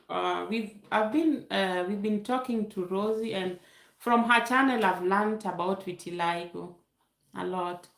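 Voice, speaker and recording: A woman's voice: medium pitch, a bit bright. Chatty Kenyan woman in an echoey room. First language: Luo. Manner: chatty, reads viewer comments aloud, laughs often. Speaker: YouTube livestream host. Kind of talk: casual live Q&A with chat.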